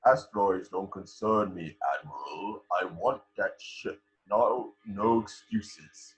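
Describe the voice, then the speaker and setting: A man playing a menacing, commanding dark lord villain in a script read-through, slow and imperious, with a husky voice. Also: sits low, highs cut off, full character voice. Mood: cold, commanding. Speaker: amateur actor in a table read.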